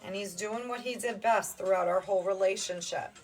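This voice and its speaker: A woman's voice: medium pitch, brisk, low-quality recording. A woman with a New Jersey accent chatting casually on a fitness livestream. She jokes around and greets viewers by name. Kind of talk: casual live-stream chat.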